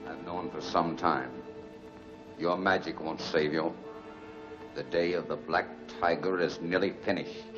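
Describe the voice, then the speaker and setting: A resolute crime fighter from a 1940s movie serial. His voice is low and his delivery terse and commanding. The vintage soundtrack is dull, with music behind the man. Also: steady tone, measured pace. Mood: stern defiance. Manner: terse, matter-of-fact, commanding. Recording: muffled old 1940 film soundtrack, music.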